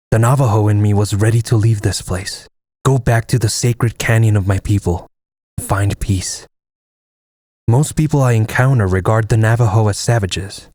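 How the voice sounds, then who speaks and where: Man acting as a solemn low-voiced soldier. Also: a little mellow, no room sound, clean studio sound, lightly acted. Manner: solemn, measured delivery. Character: stoic Navajo lieutenant of the US Army Air Force, fatalistic. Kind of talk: dramatic first-person narration and dialogue in an audio comic.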